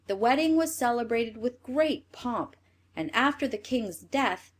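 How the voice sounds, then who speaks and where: A woman reading a scripted fairy tale as a storyteller, in an expressive manner. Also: on the high side, measured pace.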